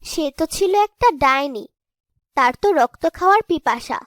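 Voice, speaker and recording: High-voiced woman telling a fairy tale. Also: expressive, measured pace, fairly bright tone, no room sound, studio quality. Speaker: storyteller. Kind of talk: scripted fairy-tale narration.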